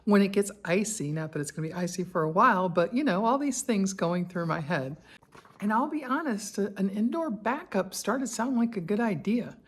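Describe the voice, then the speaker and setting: A woman, talking candidly in a personal vlog, chatty and quick to laugh, with many asides and fillers. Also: mid-range voice, expressive, slightly rough. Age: 60s. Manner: conversational with frequent fillers and asides. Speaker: lifestyle vlogger.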